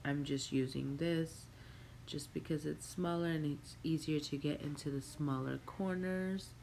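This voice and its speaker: A young woman with a stuffy nose from a cold, chatting relaxed to viewers as she walks through a makeup tutorial at home. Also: deep voice, measured pace, gravelly, slight background noise. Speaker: beauty vlogger. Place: home room with a Christmas tree.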